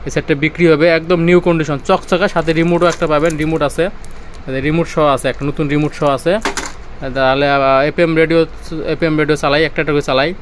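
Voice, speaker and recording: A man with a Bangladeshi accent giving an informal sales demonstration, often halting and repeating his phrases. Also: on the high side, little pitch movement, a little hiss or hum. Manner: repetitive, halting, with restarts. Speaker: seller demonstrating used electronics.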